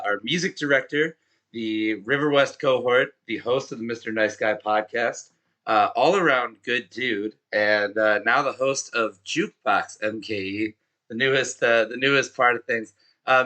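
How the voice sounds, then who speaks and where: A man with a hoarse, worn-out voice hosting a live music show in a chatty, pumped-up way. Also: mid-range voice, normal speed, bright, forceful tone. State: hoarse, has lost his voice. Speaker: show host.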